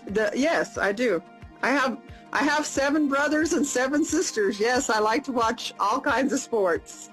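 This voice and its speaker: Woman, 50s, American, chatty live-chat host, slightly high voice, faint music behind. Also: a bit bright, low-quality recording. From United States. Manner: upbeat, repeats questions slowly and plainly. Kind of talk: casual video chat.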